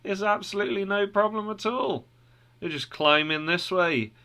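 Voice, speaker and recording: A man's voice: sits high, normal speed, bright, forceful tone, pitched above the performer's own voice. A man voicing two cocky male travelers who laugh off criticism and justify a shortcut, in a brash, dismissive way. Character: Hypocrisy and Formality, cocky wall-climbing men.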